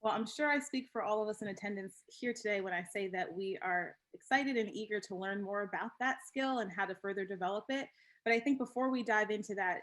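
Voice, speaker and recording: A woman's voice: medium pitch, fairly bright tone. A woman hosts and moderates a webinar conversation in a polished, welcoming, scripted manner. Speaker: host and moderator.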